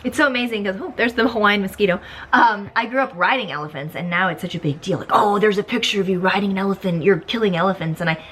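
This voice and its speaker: A woman's voice: mid-range voice, quick pace, fairly bright tone, slightly rough. A young woman vlog host, upbeat and giggly, interviewing a guest outdoors at a festival with a handheld mic. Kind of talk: bubbly casual interview.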